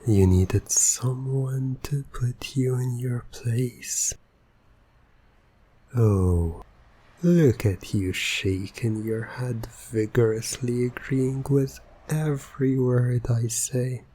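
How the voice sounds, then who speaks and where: A man playing a teasing, domineering hypnotist in an ASMR roleplay, breathy and often near a whisper, with a deliberate, commanding manner. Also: mid-range voice, steady tone, mellow, slight background noise. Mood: smug teasing. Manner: slow, deliberate, commanding, taunting. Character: teasing, domineering male hypnotist, smug and in control. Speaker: roleplay audio performer.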